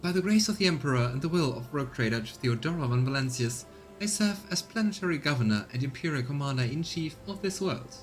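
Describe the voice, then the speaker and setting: A man's voice: a little high, expressive, quick pace, faint music behind, voice pushed up, natural voice. A man voicing a gracious noblewoman governor who speaks in a formal, ceremonious way, for a game read-along. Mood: courtly, gracious.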